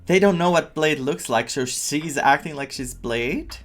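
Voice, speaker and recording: A woman's voice: low-pitched, lively intonation, unhurried. A woman giving casual, informal reaction commentary as a game streamer.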